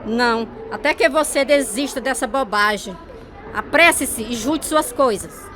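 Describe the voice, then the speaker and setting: Actress as a harsh, high-voiced bitter mother. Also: unhurried, a crowd in the background, background noise, close to an everyday voice. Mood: cold scorn. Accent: Brazilian. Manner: scolding, cutting. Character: harsh, bitter mother, spiritist, scornful and unforgiving. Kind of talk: radio drama acting.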